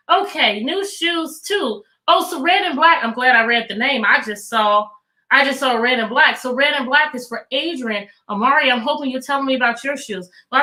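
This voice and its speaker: Bright, high-voiced woman teaching children. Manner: animated, encouraging, talking directly to children with many questions. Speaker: teacher hosting a children's read-aloud. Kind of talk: live educational talk to children online. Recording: echoey room sound.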